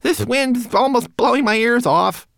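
A man's voice: extremely high pitch, normal speed, vocal fry, a bit bright, no room sound, studio quality, pitched above the performer's own voice, full character voice. A man voicing a tiny, spindly, nervous and sly mannequin with a fretful, furtive manner in a storybook reading. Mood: anxious, complaining.

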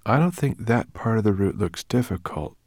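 A man's voice: lowish voice, lively intonation, normal speed, darkish tone, no room sound, clean studio sound, pitched above the performer's own voice. A man voicing an earnest, eager boy planning a journey.

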